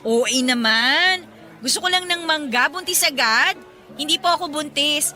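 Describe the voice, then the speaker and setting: A woman's voice: high voice, a little slow, pushed and bright, soft background music. An actress playing a sassy, teasing young woman with a Filipino accent in a radio drama. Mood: mildly exasperated. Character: sassy single young woman, teasing but polite to elders. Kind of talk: Tagalog radio drama acting.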